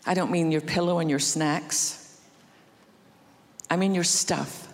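A woman from Scotland with a Scottish accent, preaching a sermon and telling a family story with gentle humor. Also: sits low, steady tone, slow pace. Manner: warm anecdotal humor, acts out quotes. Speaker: guest preacher. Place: large church auditorium. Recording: reverberant church hall.

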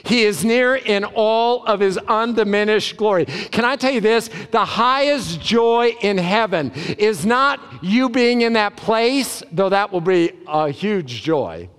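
A man preaching a sermon as a pastor, emphatic, with deliberate pauses and repeated short phrases, in a large hall. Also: sits high, expressive, a bit bright, smooth.

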